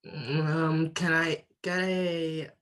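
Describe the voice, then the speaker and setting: A woman plays a nervous customer in a radio play. The voice is on the low side and crawls along haltingly, stuck on ums as it tries to order. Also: steady tone, a bit bright. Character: nervous, hesitant sandwich shop customer.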